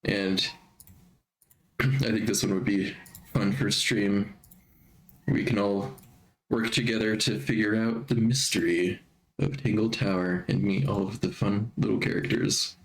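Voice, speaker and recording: Slow-talking stuffy male streamer. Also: on the low side, fairly even intonation, rough recording. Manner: chatty, frequent throat clearing. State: stuffy from allergies. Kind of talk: casual live-stream chat.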